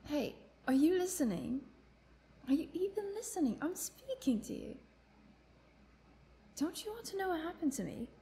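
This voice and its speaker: A woman's voice: very high voice, big pitch swings, moderate pace, long pauses, warm tone, slight background noise, full character voice. A woman playing a chatty, playful vampire prisoner who teases and tells her woes theatrically in a roleplay monologue. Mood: playful, indignant. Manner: playful, talkative, teasing, theatrical. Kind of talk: dramatic roleplay monologue addressed to the listener.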